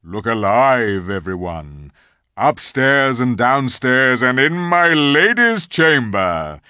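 Noble lion leader for a storybook, voiced by a man: booming, brisk authority, animated pitch. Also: no echo, studio quality, full character voice. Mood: brisk, commanding. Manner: booming, commanding. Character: great commanding male lion leader, brisk and kindly.

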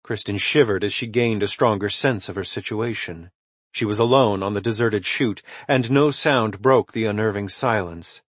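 A man reading a suspense thriller novel as scripted narration, in a dramatic, measured storytelling manner with emphatic swells and hushed drops. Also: lowish voice, no echo. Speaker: audiobook narrator.

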